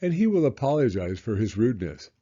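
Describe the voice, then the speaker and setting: A man's voice: mid-range voice, big pitch swings, brisk, dark tone, slightly rough, muffled recording. A man voicing a proud, polite father in a children's storybook, speaking in an earnest, emphatic way. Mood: stern.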